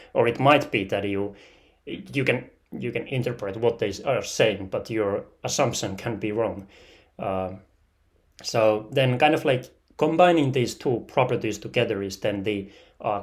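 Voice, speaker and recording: A young man with a Finnish accent giving a technical thesis talk, hesitant and full of fillers. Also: mid-range voice. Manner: hesitant, many "uh" and "kind of like" fillers. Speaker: researcher presenting thesis.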